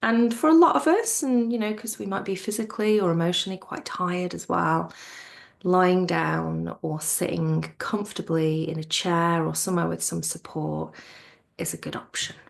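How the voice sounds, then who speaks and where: A woman teaching yoga nidra in a soothing, gentle manner, her soft voice trailing off toward a near-whisper as she guides relaxation. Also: on the low side, fairly even intonation, creaky. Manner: soothing, gentle, unhurried with pauses.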